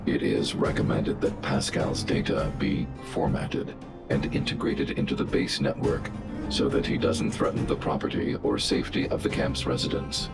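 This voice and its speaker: An actor voicing a huge, stoic guardian robot that gives flat, logical reports in percentages, his voice processed to sound robotic. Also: very deep voice, moderate pace, exaggerated voice. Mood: calm analytic. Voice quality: gravelly, flat mechanical delivery. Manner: cold analytic reports in percentages. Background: game music.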